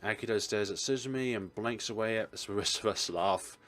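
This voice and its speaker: A man's voice: fairly low pitch, fairly quick, fairly bright tone. A man reading a visual novel aloud as narration for a let's play, now and then stumbling over a line. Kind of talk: expressive read-aloud narration. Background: game music.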